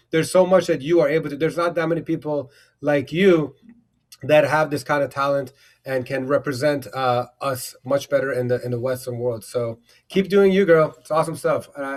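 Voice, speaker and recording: Rambling effusive male stream host. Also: medium pitch. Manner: effusive, rambling with many fillers and restarts. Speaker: livestream host. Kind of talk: casual livestream chat.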